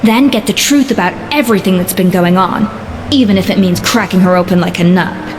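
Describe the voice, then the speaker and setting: A woman's voice: medium pitch, a little fast, background noise, lightly acted. A woman playing a determined, plain-spoken young woman in a fantasy video game. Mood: resolute.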